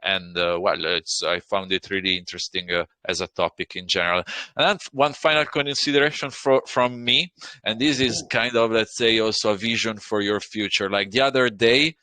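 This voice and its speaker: A man's voice: lowish voice, fairly even intonation, moderate pace, bright, forceful tone, no room sound. A man moderating an online AMA as community host, polite and rambling with fillers.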